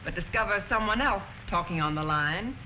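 A prim 1940s telephone instructor , played by a woman, heard through a dull old film soundtrack with some background noise. She explains things patiently, in a formal, measured, didactic way at a medium pitch. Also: expressive, lightly acted. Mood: patient, instructive. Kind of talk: 1940s educational film narration.

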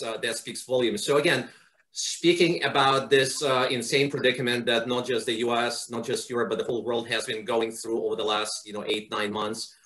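A man's voice: medium pitch, sharp tone. A middle-aged man hosting an interview over a video call, asking long, wordy questions and praising his guest. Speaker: interview host. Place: home office indoors.

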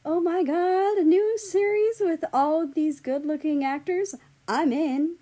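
Casting: woman as snide online gossip for a story reading, exclamatory, judgemental, raised pitch. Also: measured pace, dark tone. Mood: gushing.

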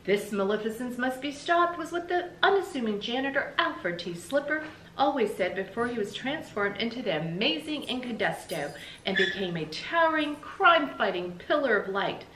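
A middle-aged American woman reading a children's story aloud in an animated, emphatic, explanatory way, like a teacher. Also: a little high, normal speed. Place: small indoor room with bookshelves. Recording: roomy echo, faint background noise.

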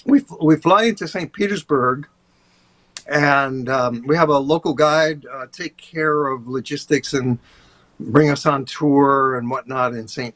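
An older man, a tour guide, chatting over a video call in a relaxed, rambling way with many fillers. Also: fairly high pitch. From USA. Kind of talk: casual interview talk. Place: home office, indoors.

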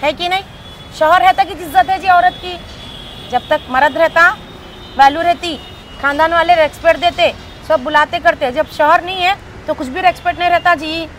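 A woman's voice: very high voice, fairly even intonation, normal speed, a bit bright. A middle-aged woman from Hyderabad with a Hyderabadi accent, chatting brashly and teasing people at a street stall for a comedy vlog, her throat a bit sore. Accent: Hyderabadi Hindi-Urdu. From Hyderabad, India. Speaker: comedy vlogger. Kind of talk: street prank vlog chat. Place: busy city roadside stall, outdoors. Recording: street noise behind.

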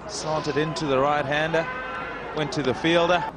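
A male cricket commentator calling a match live, getting loud and excited when a wicket falls. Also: a little high, normal speed. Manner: excitable, rising to shouts at wickets. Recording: noisy crowd behind, outdoor broadcast. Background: cheering crowd and ground noise.

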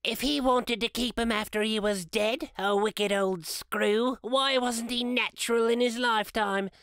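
Man doing a gossipy laundress lady, high voice, sucking up, drags words out. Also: steady tone, a bit bright, clean tone, no echo, clean studio sound, exaggerated voice. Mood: fawning. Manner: ingratiating, drawn-out emphasis. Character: fawning, gossipy laundress, eager to agree.

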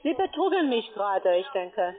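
A woman's voice: mid-range voice, big pitch swings, normal speed, crowd noise. A woman working as a call center agent, speaking German with broken grammar and pushing insistently. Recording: tinny phone line.

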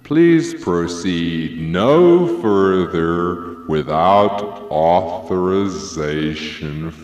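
A man voicing a solemn automated home-security warning in slow, formal announcement style. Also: deep voice, expressive, a little mellow, dull audio, faint music behind, heavily acted. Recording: echoey hall-like reverb.

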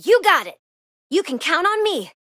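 Perky young american princess for a racing game, super high n swoopy, gushy, bit flustered. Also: female voice, sing-song, normal speed, bright, forceful tone, no echo, studio quality, exaggerated voice. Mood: excited, cheerful. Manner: gushing, perky, slightly flustered. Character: bubbly, eager, nervously excitable young princess racer. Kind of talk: animated video game character voice lines.

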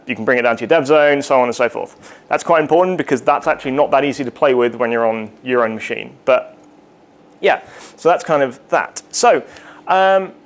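A young man giving a casual, rambling technical talk at a meetup, with lots of fillers and asides. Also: mid-range voice. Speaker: conference speaker. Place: meetup room in front of a projected slide.

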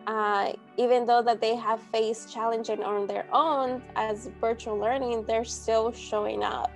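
A woman organizer speaking in a measured, serious way over a video call from home. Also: fairly high pitch, steady tone, creaky, soft background music. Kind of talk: documentary interview.

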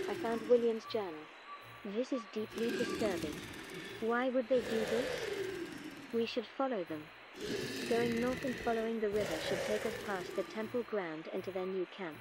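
A woman playing an earnest, composed archaeologist recording measured, articulate expedition audio logs for a game. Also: a little high, heavy background noise, close to an everyday voice. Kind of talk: scripted audio-log narration, game voice acting.

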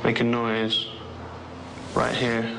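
A man with a gravelly, mid-pitched voice calls out to spirits as an investigator. The location recording is clearly noisy. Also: completely flat delivery, takes it slowly. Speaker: paranormal investigator. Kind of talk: calling out to spirits.

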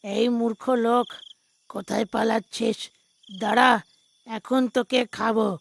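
A woman's voice: fairly high pitch, steady tone, measured pace, creaky, no echo. A woman voicing a hungry, menacing ghostly beast in a horror cartoon, taunting and threatening. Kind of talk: animated horror story dialogue.

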